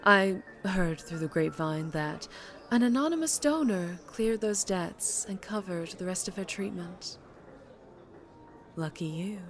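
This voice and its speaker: A woman playing a charming, menacing mafia boss in an ASMR roleplay, with a sultry, teasing, unhurried drawl. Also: on the low side, expressive, faint music behind. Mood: seductive, coaxing.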